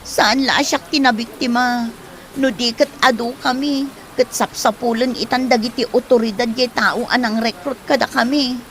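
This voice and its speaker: A woman's voice: on the high side, fairly even intonation, moderate pace, close to an everyday voice, poor audio. A woman acting an ashamed, humbled wife who explains herself apologetically in an Ilocano comedy drama, with an Ilocano accent. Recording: faint background noise.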